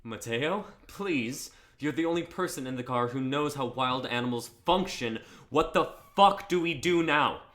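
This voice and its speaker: Guy playing protective young husband, sardonic n urgent, medium pitch, drama reading. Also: animated, moderate pace, few pauses, fairly bright tone, close to an everyday voice. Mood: exasperated, urgent.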